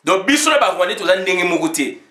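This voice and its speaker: A man's voice: high-pitched, sing-song, quick pace, pushed and bright, roomy sound. A man giving an informal political monologue as a commentator, switching between two languages, with many fillers, sometimes reading legal text aloud.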